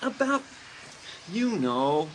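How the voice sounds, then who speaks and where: A man's voice: sits high, very animated, extremely slow, slight background noise, heavily acted, low-quality recording. An actor playing an eager, sheepish teenage boy in a dramatised horror audiobook. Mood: eager, apologetic.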